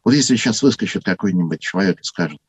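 A man with a husky voice giving an unscripted talk on church history, thoughtful and full of hesitations. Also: low voice, animated, fast, dry recording.

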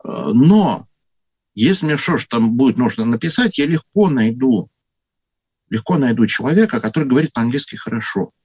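A man with a husky voice giving an unscripted talk on church history, thoughtful and full of hesitations. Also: medium pitch, expressive, moderate pace, a little mellow, dry recording.

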